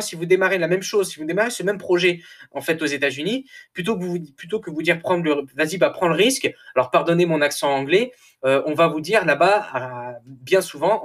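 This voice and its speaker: Chatty man, lively podcast talk. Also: a little high. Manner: animated, laughs often, repeats and restarts words. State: a little tired. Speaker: podcast host. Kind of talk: casual motivational podcast monologue.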